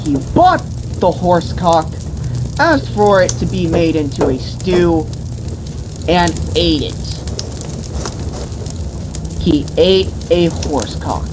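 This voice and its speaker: A woman's voice: fairly low pitch, slow pace, long pauses. A woman retelling a tabletop game as game master in a theatrical, mock-grandiose, crude comedic way. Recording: noisy room with steady background hiss.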